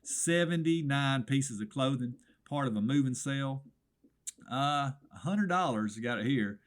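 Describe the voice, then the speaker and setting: A hoarse middle-aged man with a thick Southern American drawl rattles off items like a small-town radio swap shop host. He is folksy and wisecracking and keeps calling the listener "brother." His voice sits at an ordinary pitch for a man. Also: lively intonation, unhurried.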